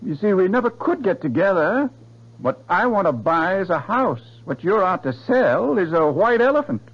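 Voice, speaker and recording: High-voiced, wry, unimpressed house buyer. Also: male voice, very animated, normal speed, husky, heavily acted. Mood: dryly dismissive. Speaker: radio drama actor. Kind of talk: 1970s radio drama acting. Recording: dull, slightly noisy old radio recording.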